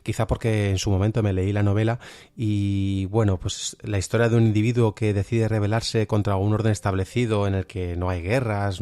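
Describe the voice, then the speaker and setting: A man's voice: lowish voice, mellow, dry recording. A man from Spain with a Spanish accent giving an informal, reflective spoken review as a podcast host, a little hesitant.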